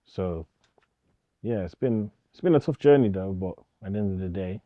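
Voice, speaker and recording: Deep-voiced young man. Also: lively intonation, moderate pace, no echo, dull audio. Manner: informal, slangy, repeats himself. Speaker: DJ, interview guest. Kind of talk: casual documentary interview.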